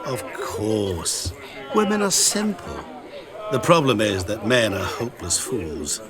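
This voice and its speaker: A sly, sinister merchant. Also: male voice, lowish voice, slow, music in the background. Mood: playful. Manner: smooth, calm, quietly menacing. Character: sly, sinister man in the guise of a humble merchant. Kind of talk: game cutscene voice acting.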